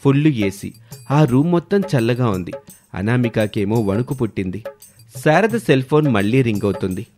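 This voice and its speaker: A man's voice: medium pitch, animated, moderate pace, darkish tone, faint music behind. A man narrating a scripted family moral story as its storyteller.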